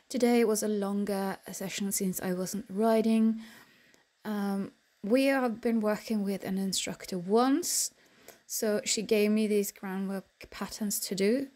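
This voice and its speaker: Young woman, casual voiceover, unhurried and soft-toned, lots of ums. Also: mid-range voice. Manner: relaxed, halting, many ums and restarts. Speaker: horse vlogger. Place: indoors, quiet room, recorded apart from the pictured arena.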